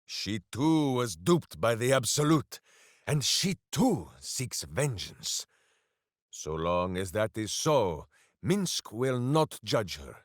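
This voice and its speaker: An actor playing a boisterous, simple-hearted heroic warrior in a video game, bombastic and speaking of himself in the third person. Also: male voice, mid-range voice, very animated, slow, no echo, studio quality. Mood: grave, subdued. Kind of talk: video game character voice lines.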